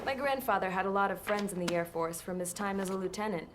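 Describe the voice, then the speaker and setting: A woman's voice: mid-range voice, fast talker, lightly acted. An actress playing a cocky, resourceful young prisoner, speaking casually and wryly in a game cutscene, with noise behind her. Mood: cool, sly. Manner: casual, slangy, wry. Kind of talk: video game cutscene narration and dialogue.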